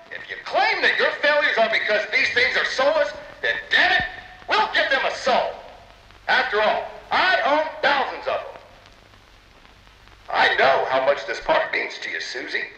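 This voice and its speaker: Game studio boss: theatrical dreamer, manipulative, high voice with wildly swooping delivery, reverberant recording. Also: male voice, pushed and bright, muffled recording, full character voice. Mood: stern, threatening. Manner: theatrical, pompous, salesman-like. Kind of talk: dramatic recorded memo, game voice acting. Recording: reverberant, echoey recorded-memo sound.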